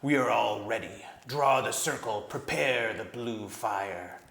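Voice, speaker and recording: Casting: male reader playing a terrible gray-voiced werewolf; bleak, threatening, gravelly. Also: lowish voice, slow, fairly bright tone, echoey room, heavily acted. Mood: grim menace. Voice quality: gray, dull, grim.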